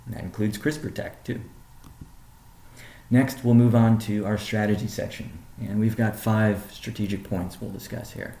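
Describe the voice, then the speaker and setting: A man gives a prepared corporate update in measured phrases. His voice is dark and relaxed, with a heavy gravelly creak. The sound is noticeably roomy and echoing, and he lands key words deliberately. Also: on the low side. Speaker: company CEO presenting. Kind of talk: prepared business presentation on a conference call.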